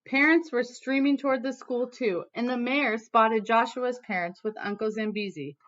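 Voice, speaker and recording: A woman teacher reading a storybook aloud to students in an animated, emphatic way, with casual asides. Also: a little high, normal speed, muffled recording.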